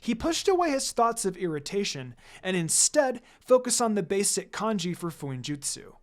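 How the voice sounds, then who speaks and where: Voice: mid-range voice, very animated, moderate pace. A narrator reading a scripted fan fiction story aloud. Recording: music behind the voice.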